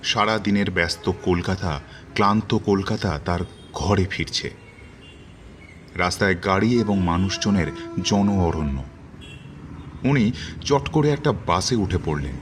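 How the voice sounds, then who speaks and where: A man narrating a horror story in a dramatic, suspenseful way with measured, theatrical phrasing. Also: low-pitched, slight background noise. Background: background music.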